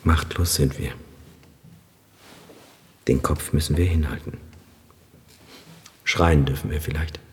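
An actor in a German radio drama playing a grave, cautious Armenian high priest, speaking in a measured, solemn and resigned way. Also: male voice, steady tone, in a large hall.

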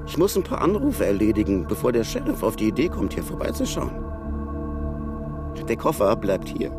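An actor playing a gruff, menacing man in a German crime radio drama, curt and threatening. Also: on the low side, wildly swooping pitch, moderate pace. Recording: music bed under the voice.

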